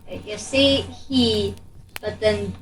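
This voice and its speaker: A woman's voice: medium pitch, slow pace. A woman chatting casually as a player in a tabletop game. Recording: slightly distant mic.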